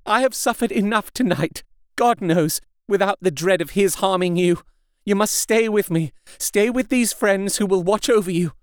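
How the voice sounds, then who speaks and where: Man voicing a distraught, high-pitched young wife, pleading. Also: moderate pace, clear, resonant tone, no echo, clean studio sound, natural voice. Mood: frantic pleading. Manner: frantic, pleading, sobbing delivery. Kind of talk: dramatic gothic audiobook character voice.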